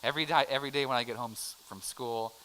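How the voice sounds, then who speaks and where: A man's voice: medium pitch, fairly even intonation, quick pace, fairly bright tone, a little hiss or hum, low-quality recording. A middle-aged American man teaching a casual adult Bible class, chatty and joking as he quizzes the room. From United States. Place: church classroom.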